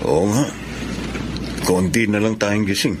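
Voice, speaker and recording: Man acting low-voiced Filipino seaman, noisy background. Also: a little slow, lightly acted. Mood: reassuring. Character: reassuring seaman, loyal shipmate. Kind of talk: Filipino radio drama acting.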